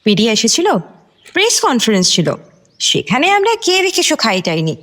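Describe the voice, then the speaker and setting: An actress playing a brash young go-getter stylist in a radio drama, sassy and impatient, mixing in English words. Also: female voice, a little high, huge pitch swings, moderate pace, a bit bright, full character voice. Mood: matter-of-fact.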